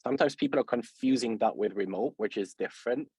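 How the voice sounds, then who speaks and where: A man's voice: medium pitch, fast talker, gravelly, dark tone, slightly rough, dry recording. A man with a Swedish accent hosting a webinar interview, speaking hesitantly with many fillers and restarts. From Sweden. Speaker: host, company founder.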